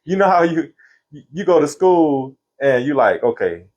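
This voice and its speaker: A man of fifty from Florida, telling stories from his life in a relaxed interview. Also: fairly high pitch, unhurried, highs cut off. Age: 50s. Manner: relaxed, laughing, Southern slang. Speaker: interview guest, singer. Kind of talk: casual storytelling interview.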